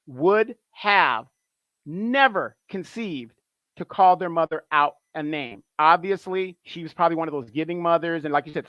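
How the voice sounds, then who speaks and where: Animated man on a dull, dry line. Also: sits high, no echo. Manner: animated, repetitive, emphatic. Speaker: guest. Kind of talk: casual opinion talk.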